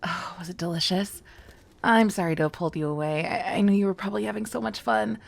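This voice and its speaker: A woman playing an excitable, bookish, friendly young witch student in a roleplay, chatty and earnest, speaking straight to the listener. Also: mid-range voice, quick pace, gravelly. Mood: nervous, grateful. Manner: chatty, rambling, giggly, earnest. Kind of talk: scripted one-sided roleplay addressed to the listener.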